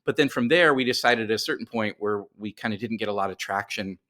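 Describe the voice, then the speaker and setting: A man's voice: on the low side, quick pace, bright, forceful tone. A middle-aged man speaking as a podcast guest, telling his story conversationally and often doubling back on himself as he explains.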